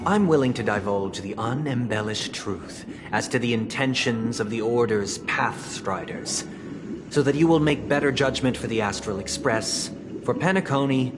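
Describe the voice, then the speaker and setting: An actor playing a calm, persuasive young male leader who speaks in a measured, formal, gently condescending way. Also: mid-range voice, natural voice. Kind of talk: video game voice acting. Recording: game cutscene with loud score. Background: orchestral music.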